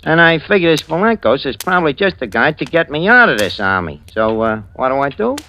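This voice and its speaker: An actor playing a shifty, wisecracking New York crook who talks in streetwise slang, in 1940s radio style. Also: male voice, a little high, big pitch swings, a little fast, sharp tone, a little hiss or hum, heavily acted. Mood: smug storytelling. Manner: streetwise slangy talk with bad grammar. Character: shifty, wisecracking American crook posing as a gentleman. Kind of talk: 1940s radio acting, first-person narration. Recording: old radio recording with music behind.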